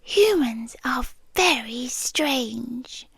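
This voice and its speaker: A British woman playing a possessive, nonhuman yandere girlfriend in an ASMR roleplay, speaking softly and deliberately and often slipping into breathy whispers. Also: sits high, expressive, no echo, heavily acted. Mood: intense, devoted.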